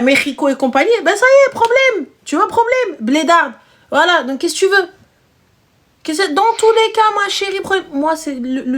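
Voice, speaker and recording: A woman's voice: high voice, fairly bright tone. A single mother hosting a live stream, chatting casually and emphatically with slangy speech and repeated phrases.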